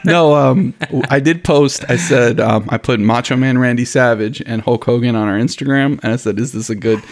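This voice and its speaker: A man's voice: medium pitch, normal speed. A young man from Miami whose family is from Honduras, co-hosting a podcast in a laid-back chat, saying dude and you know a lot and laughing easily. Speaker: podcast co-host. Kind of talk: casual chat.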